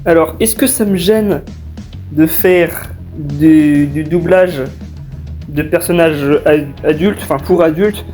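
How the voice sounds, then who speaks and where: A young man giving an informal, halting explanation as a fan dub creator, answering questions. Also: fairly high pitch. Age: young adult. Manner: hesitant, halting delivery. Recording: faint music behind.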